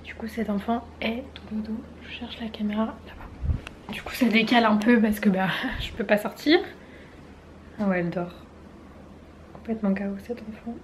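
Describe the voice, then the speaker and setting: A woman's voice: medium pitch, steady tone, moderate pace, pauses often, a little hiss or hum. A young woman in her twenties vlogging at home with a camera held at arm's length, chatty and casual, with a slight cold. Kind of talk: casual vlog chat. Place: home bedroom indoors. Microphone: handheld camera at arm's length.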